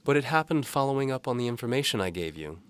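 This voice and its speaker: Man voicing a forward young maid, pitched high. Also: lively intonation, fast talker, slight background noise, lightly acted. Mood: earnest.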